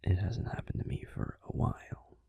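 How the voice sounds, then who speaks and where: A man voicing a caring young werewolf boyfriend in a gentle, breathy, unhurried whisper for a sleep-aid roleplay, close to the microphone. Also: no room sound, highs cut off, natural voice. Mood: soothing, drowsy. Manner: gentle, soothing, unhurried reassurance with pauses. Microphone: close, dry microphone.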